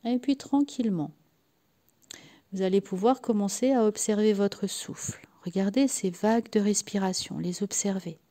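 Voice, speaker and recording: A woman leading a guided yoga nidra relaxation in a soft, sometimes breathy voice, soothing and unhurried, leaving long pauses between short phrases. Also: medium pitch. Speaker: guided meditation and sophrology practitioner.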